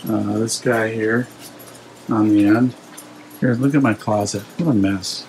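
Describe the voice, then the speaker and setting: A man's voice: fairly low pitch, fairly even intonation, a little slow, a little mellow, slight background noise, low-quality recording. A middle-aged man giving a casual, rambling walkthrough of his home fish room, hesitating with lots of fillers, filmed on a handheld phone indoors.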